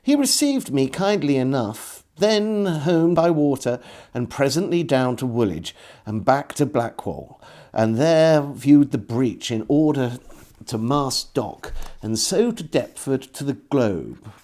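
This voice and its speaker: A man reading an old diary aloud as a storyteller, in measured phrases with deliberate pauses. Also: mid-range voice, lively intonation, darkish tone. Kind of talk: scripted literary narration of an old diary.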